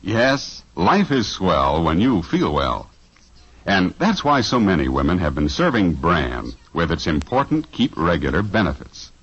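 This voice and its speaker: A man reading a 1950s radio commercial, smooth and persuasive. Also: deep voice, very animated, unhurried, a bit bright. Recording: dull old radio broadcast recording.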